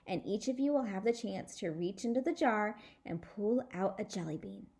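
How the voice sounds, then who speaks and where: A woman voicing a genial father in a children's storybook, patient and measured as he explains a party game. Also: medium pitch, lively intonation, a little mellow, lightly acted. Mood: explanatory.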